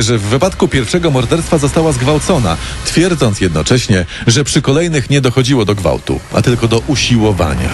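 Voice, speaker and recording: A man narrating a scripted true-crime radio story in a dramatic storytelling manner. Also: mid-range voice. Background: prominent music bed.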